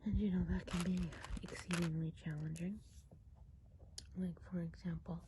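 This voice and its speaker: A woman doing a hushed, whispered ASMR role play as a friendly woodland witch, explaining things in a gentle, meandering way. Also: fairly low pitch, a little hiss or hum, rough recording. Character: friendly Christmas witch of the woods. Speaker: ASMR performer.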